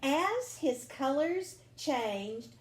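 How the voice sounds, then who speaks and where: A woman reading a children's picture book aloud expressively, drawing out words with deliberate, dramatic phrasing. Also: sits high, very animated. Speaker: storybook reader. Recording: roomy home recording with faint noise.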